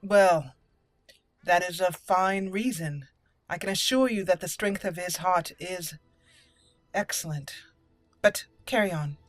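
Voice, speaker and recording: A woman's voice: lowish voice, dry recording. Formal, haughty elf mage, voiced by a woman, music behind. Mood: commanding. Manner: formal, measured, stately. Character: young female elf mage, haughty, formal, dwarf-raised. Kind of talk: role-played fantasy game dialogue. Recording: game music clearly behind the voice.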